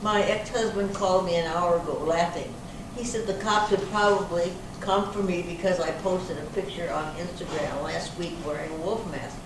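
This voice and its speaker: A plain-spoken, indignant older woman under questioning, played by a woman in a stage reading. She is heard from far away in a very echoey room with clear noise. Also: lowish voice, steady tone, normal speed, lightly acted. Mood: unfazed. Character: feisty, indignant older woman suspect, club activities coordinator. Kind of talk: amateur readers theater reading. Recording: very echoey hall, distant mic, noisy.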